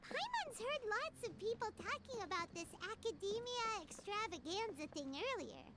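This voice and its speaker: Tiny squeaky childlike fairy companion. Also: female voice, big pitch swings, moderate pace, heavy background noise. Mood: curious, perky. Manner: refers to self in third person, cutesy. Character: small childlike floating fairy companion, curious and perky. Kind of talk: video game voice acting. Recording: game audio with loud music.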